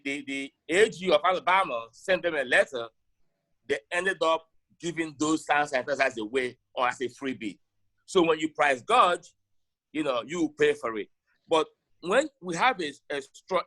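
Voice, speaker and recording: A man's voice: a little high, bright, forceful tone, poor audio. A man with a Nigerian Igbo accent, giving his opinions in an interview in an emphatic way, with short rhetorical questions. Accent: Nigerian Igbo-language accent. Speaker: interviewee, sports broadcaster.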